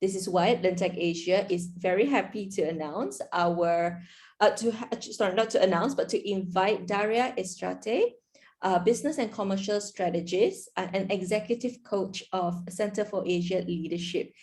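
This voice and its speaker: A woman's voice: mid-range voice, normal speed, a little mellow. Woman host, mid-range voice, friendly and upbeat, leading a video-call interview. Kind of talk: hosting an online interview.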